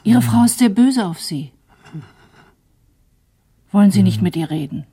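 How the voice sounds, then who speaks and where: An actress playing a scolding, insistent woman in a radio drama, with a breathy voice. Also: mid-range voice, expressive, a little slow, warm tone. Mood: scolding, coaxing. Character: scolding, insistent woman talking to a dazed man.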